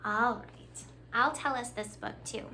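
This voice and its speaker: A woman's voice: a little high, unhurried, pushed and bright, a little hiss or hum, poor audio. A woman hosting a children's storytime, reading aloud expressively and speaking to young listeners with simple questions and praise. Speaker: children's librarian, storytime host.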